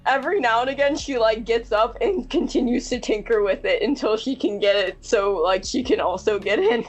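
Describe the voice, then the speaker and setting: A woman's voice: fairly high pitch, moderate pace, soft background music, poor audio. A woman talking as a player in a tabletop roleplaying game, casual and informal, with a teasing way about her. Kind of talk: casual game chat among friends.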